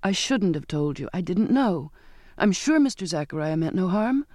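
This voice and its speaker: A man's voice: sits high, animated, moderate pace, a little mellow, no room sound, studio quality. A man voicing an earnest, frightened young girl in an audiobook, pleading and stammering. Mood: anxious, guilty. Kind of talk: dramatised audiobook dialogue.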